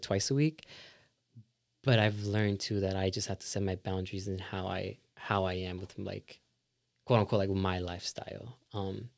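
Laid-back young man, low dry voice. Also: no room sound. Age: young adult. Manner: laid-back, filler-heavy, reflective. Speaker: college student panelist. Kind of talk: casual group chat.

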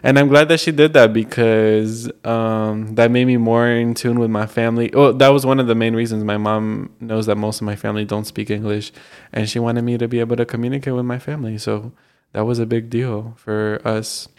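A man's voice: medium pitch, fairly even intonation, brisk, a little mellow, clean tone. A young man hosting a casual solo podcast, rambling with lots of fillers. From United States, Colombian family. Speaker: podcast host.

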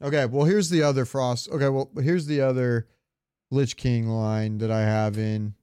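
A man's voice: medium pitch, fairly even intonation, normal speed, dark tone, clear, resonant tone, no room sound, studio quality. A man co-hosting a casual podcast, talking in a rambling, enthusiastic fan's way. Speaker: podcast co-host. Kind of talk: casual chat about games.

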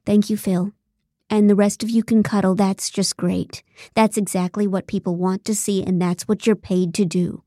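A woman's voice: medium pitch, fairly quick, gravelly, warm tone, no echo. A woman plays a controlling female TV producer in an audiobook. She talks in a clipped, tight, sarcastic way, slightly raised over the narration, in a dry recording. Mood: seething sarcasm.

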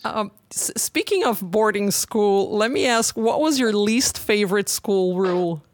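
A woman hosting a podcast interview, reading a polished intro and asking questions in a friendly, smooth manner. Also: medium pitch, unhurried, no room sound. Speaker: podcast host.

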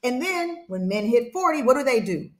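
A woman's voice: high-pitched, very animated, normal speed, reverberant. A woman in her forties talking frankly and emphatically in a casual chat. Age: 40s.

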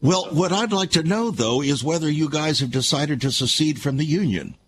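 A man's voice: a little high, animated, normal speed, a little hiss or hum. A man hosting a talk show, delivering a rhetorical, emphatic monologue full of repeated questions. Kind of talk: opinion show monologue and interview.

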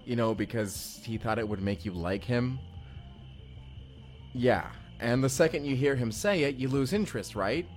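A man's voice: medium pitch, moderate pace, natural voice. Man playing hesitant, friendly young guy, music behind. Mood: hesitant, sincere. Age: young adult. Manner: hesitant, chatty, joking. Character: awkward, friendly young man at a party, evasive. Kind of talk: immersive first-person audio roleplay.

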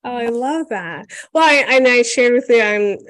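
Interviewer: woman, warmly encouraging questions, dry recording. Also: fairly high pitch, expressive, moderate pace, dry recording. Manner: encouraging, gentle, affirming. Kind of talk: oral history interview.

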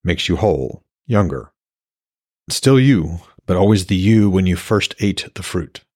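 A gentle, reassuring man of Norse fantasy, acted by a male reader, speaks low and soft. He coaxes and explains patiently in a husky voice, recorded dry for an audiobook. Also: lively intonation, unhurried, no echo. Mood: concerned, earnest.

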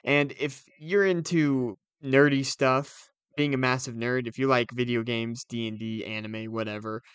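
A man's voice: medium pitch, fairly even intonation, normal speed, fairly bright tone, smooth, no echo. A young man co-hosting a podcast in casual, conversational chat. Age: young adult. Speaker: podcast co-host.

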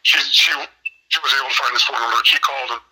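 A man's voice: mid-range voice, steady tone, quick pace, bright, forceful tone, slight background noise, rough recording. A man in his fifties from Illinois, telling his personal story as a podcast guest and often repeating words as he restarts. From Illinois, USA. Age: 50s.

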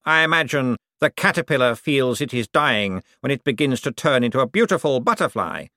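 A man voicing a vain, scheming rich young man who talks in a smooth, self-satisfied, dreamy way. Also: mid-range voice, expressive, fairly quick, bright, forceful tone, dry recording, studio quality, pitched above the performer's own voice.

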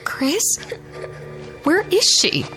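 A high-voiced, frantic mother. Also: huge pitch swings, extremely slow, a bit bright, soft background music, heavily acted. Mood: bewildered. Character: frantic, disbelieving mother searching for her little girl. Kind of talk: radio drama acting.

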